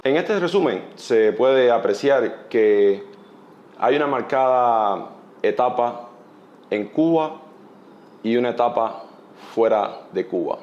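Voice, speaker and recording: A man interviewing a guest, asking formal questions in a slow, deliberate way. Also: medium pitch, a little mellow, lo-fi sound.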